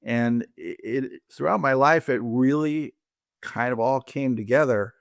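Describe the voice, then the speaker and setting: Older guy being interviewed, smooth clear voice, halting as he tells his story, dry recording. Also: mid-range voice, no echo. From Colombia, raised by American parents. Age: 60s or older. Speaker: interview guest, orthopedic surgeon. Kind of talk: conversational personal storytelling.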